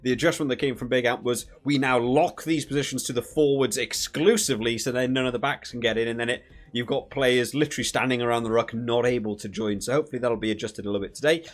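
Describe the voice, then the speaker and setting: A man's voice: medium pitch, steady tone, fast. A man hosting a video game review with casual, rambling, conversational commentary.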